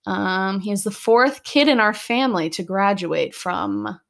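A woman's voice: medium pitch, expressive, a little slow, vocal fry, fairly bright tone. An American woman in her twenties, a podcast co-host telling stories in a chatty, sarcastic way. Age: 20s. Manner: chatty storyteller, sarcastic asides. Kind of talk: casual chat.

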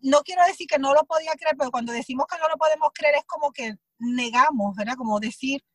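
A woman's voice: sits high, fast talker, no echo. A woman actress from Puerto Rico with a Puerto Rican accent, telling anecdotes in an interview, acting out her own thoughts as she goes. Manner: animated storytelling, acts out her own thoughts in quotes. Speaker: actress, guest. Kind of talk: conversational interview.

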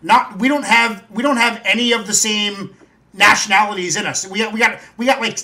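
A Canadian podcast host chatting enthusiastically, swearing casually and repeating himself. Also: male voice, high-pitched, steady tone, a little fast, pushed and bright, poor audio. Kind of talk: casual chat.

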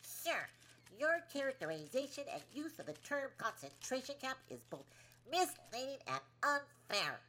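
An actress playing a curt, indignant government press secretary who scolds and talks down with emphasis in an audio play. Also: female voice, high voice, big pitch swings, normal speed, bright, forceful tone, slight background noise, heavily acted, rough recording.

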